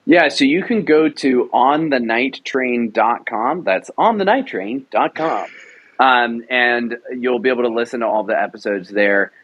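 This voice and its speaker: A man's voice: mid-range voice, lively intonation, a little slow, fairly bright tone, highs cut off, slight background noise. A young man, a writer and director, talking about his audio drama production in an enthusiastic, rambling way with many fillers. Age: young adult. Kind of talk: casual interview talk.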